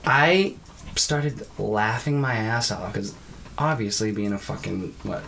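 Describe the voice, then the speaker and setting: A man's voice: fairly low pitch, takes it slowly, creaky, a bit bright, a little hiss or hum, poor audio. A man co-hosting a casual movie review, ranting in a crude, profane way. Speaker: podcast co-host.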